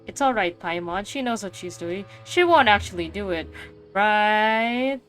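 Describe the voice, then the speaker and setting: A woman's voice: medium pitch, lively intonation, measured pace, a bit bright, faint music behind. A woman giving casual playthrough commentary and reading game text aloud, slangy and joking. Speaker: game streamer.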